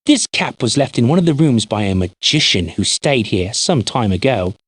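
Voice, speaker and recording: A man's voice: medium pitch, big pitch swings, moderate pace, husky, no echo. A man playing a polite, puzzled innkeeper in a fantasy video game, deferential and old-fashioned in phrasing. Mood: pleased, musing. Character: polite, puzzled male innkeeper, anxious and chatty. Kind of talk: video game character acting.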